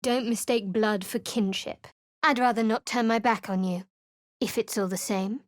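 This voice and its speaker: A woman's voice: on the high side, fairly even intonation, moderate pace, no echo, natural voice. An actress voicing a guarded, sardonic young woman cleric in a video game, with a dry, cutting delivery. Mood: wary. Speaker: game character. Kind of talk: studio game voice acting.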